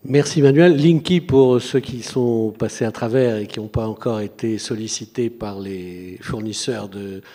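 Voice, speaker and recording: A man's voice: lowish voice, a little slow, hardly any pauses, warm tone. A man moderating a conference panel, explaining terms and introducing speakers in a conversational way with fillers.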